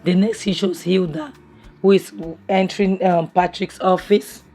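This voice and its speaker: A woman, hoarse from a cough, chatting through a casual review of a drama episode at home, opinionated and full of restarts. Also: fairly low pitch, fairly even intonation, a little slow, warm tone, soft background music. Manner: chatty and opinionated, many restarts and "you know". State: hoarse from a cough, losing her voice. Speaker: series review vlogger. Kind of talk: casual spoken review recap. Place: home, near a kitchen appliance.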